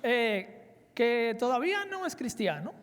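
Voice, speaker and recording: A man's voice: very high voice, very animated, slow, fairly bright tone. A man in his forties from the Dominican Republic with a Dominican Spanish accent, preaching earnestly at a conference and weaving in personal stories. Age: 40s. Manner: earnest, emphatic, with personal anecdotes. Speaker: conference speaker. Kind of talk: sermon. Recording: reverberant hall.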